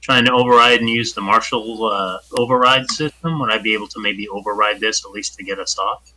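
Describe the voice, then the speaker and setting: Very bright-voiced man playing a tabletop game. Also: on the low side, poor audio. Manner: questioning and quick to restart phrases. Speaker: player. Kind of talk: casual tabletop play.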